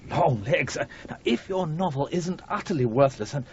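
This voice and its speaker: Man playing flustered male editor, swooping pitch, hesitant, exasperated, radio play, muffled hissy recording. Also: lively intonation, moderate pace, a little mellow, close to an everyday voice. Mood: exasperated, pleading. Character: flustered, harassed, evasive male publishing editor. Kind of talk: radio drama acting.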